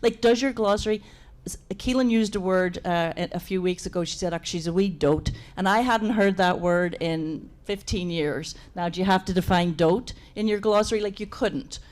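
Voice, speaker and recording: A woman with a slightly low voice speaks casually at a live event. She addresses the panel in an emphatic, anecdotal way. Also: moderate pace, a little mellow.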